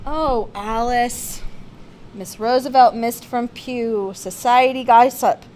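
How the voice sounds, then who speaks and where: A woman's voice: fairly high pitch, slow pace, a little mellow, a little hiss or hum, poor audio. A woman reads a children's picture-book biography aloud with theatrical, emphatic expression. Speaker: storybook reader.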